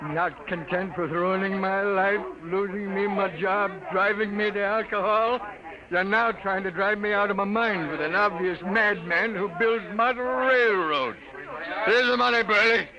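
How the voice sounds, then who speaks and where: An actor playing a gruff, world-weary newspaper editor in 1950s radio drama, curt and sardonic. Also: male voice, high-pitched, a little slow, a crowd in the background. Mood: exasperated. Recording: very noisy, dull vintage radio recording.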